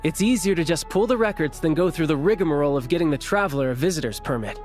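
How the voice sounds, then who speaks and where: A confident young officer from a game cutscene, voiced by a male actor. He has a fairly high male voice with a chummy, glib, assured delivery. Light music sits behind him. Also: lively intonation, quick pace, a little mellow, close to an everyday voice. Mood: breezy, amused. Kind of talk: video game cutscene acting.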